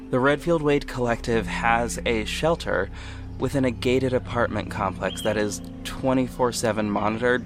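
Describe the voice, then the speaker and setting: A man playing a protective, self-assured ex-cop detective with a measured, firm delivery in an audio drama. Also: mid-range voice, lightly acted. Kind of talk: first-person audio drama narration and dialogue. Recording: music bed behind the voice. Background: music.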